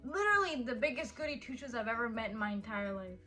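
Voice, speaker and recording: A woman's voice: fairly high pitch, sing-song, fast talker, pushed and bright, faint music behind. A woman in her early twenties chatting casually and teasing her husband in a Q&A vlog, with lots of "like" fillers. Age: 20s. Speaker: vlogger. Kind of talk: casual couple Q&A chat.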